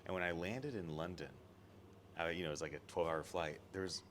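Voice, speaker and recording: Deep-voiced chatty American man. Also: fast talker, slight background noise. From United States. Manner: rambling, chatty, laughs often, trails off with fillers. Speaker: host. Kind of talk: casual interview. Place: room at an event in London.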